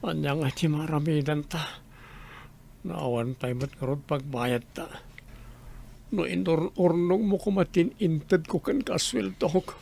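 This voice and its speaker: A sickly, hard-up Ilocano husband, acted by a man in a radio drama. His voice is very gravelly and a little high, with faint noise in the recording. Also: unhurried, dark tone. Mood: weary pleading. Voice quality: gravelly, creaky. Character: sickly, struggling husband pleading about money and medicine.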